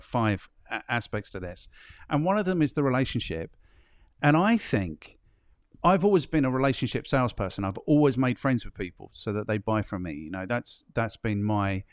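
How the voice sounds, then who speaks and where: A man's voice: medium pitch, no room sound, studio quality. A man hosting a marketing podcast, who speaks in an earnest way and thinks aloud. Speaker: podcast host. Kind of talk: conversational interview.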